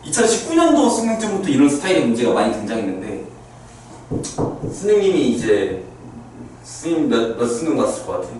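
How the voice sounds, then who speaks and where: A man around thirty teaching math to high school students in a classroom, talking them through each step and checking whether they understood. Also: wildly swooping pitch, fairly quick, pauses often, darkish tone, slight background noise. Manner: talks students through steps, asking "understood?" after each point. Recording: very echoey classroom, mic far off.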